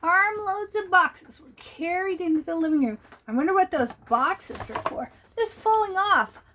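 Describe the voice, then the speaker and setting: A woman's voice: extremely high pitch, lively intonation, normal speed, bright, forceful tone, a little hiss or hum. A woman reading a picture book aloud to young children, playful and asking her listeners questions.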